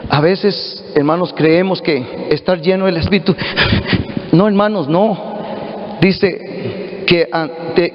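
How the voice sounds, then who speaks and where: A middle-aged Costa Rican pastor preaches earnestly, calling out to his brothers and sisters in a high voice. The sermon rings through a roomy hall with a long tail of reverb. The recording is dull and lo-fi. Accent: Costa Rican Spanish. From Costa Rica. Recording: echoey hall, dull lo-fi audio.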